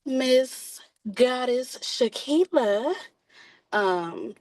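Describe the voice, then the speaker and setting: A woman in her twenties from Houston speaks slowly in a dry, close sound. She introduces herself on a video call in a measured, slightly hesitant way. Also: on the low side, darkish tone, no echo, poor audio. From Houston, USA. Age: 20s. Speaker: participant.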